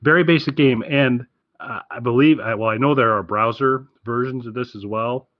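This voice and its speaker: A man's voice: mid-range voice, normal speed, dry recording, dull audio. A man hosting a podcast, chatting casually about his recommendations in an enthusiastic, rambling way. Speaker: podcast host.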